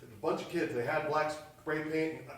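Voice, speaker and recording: A flustered, defensive man, played by an actor, speaks in a very echoey space, set back from the mic. His voice is fairly high, and he stumbles urgently through his words in a naturalistic audio drama. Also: fairly even intonation, normal speed, natural voice. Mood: urgent, concerned. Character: flustered, defensive man friend explaining himself. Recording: echoey room, distant from mic.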